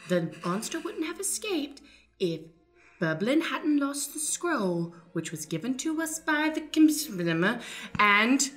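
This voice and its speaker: A woman's voice: on the high side, very animated, takes it slowly, fairly bright tone, soft background music, heavily acted. A woman playing a bossy, theatrical seer and plotter in an improvised, mock-grand radio play. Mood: firm.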